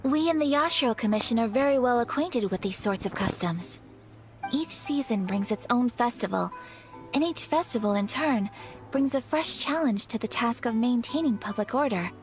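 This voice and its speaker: A poised young noblewoman, played by a woman speaks with formal, gracious courtesy. Her voice sits high and stays soft. Faint game music plays underneath. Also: expressive, moderate pace, lightly acted. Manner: formal, gracious, softly spoken. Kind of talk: game voice acting.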